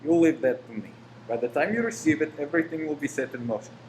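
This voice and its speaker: A man's voice: on the high side, lively intonation, quick pace, darkish tone, natural voice. A man acting in a radio play as a polite, reassuring shop professor who is secretly a bomb-making conspirator. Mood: quietly conspiratorial. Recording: roomy echo with faint noise.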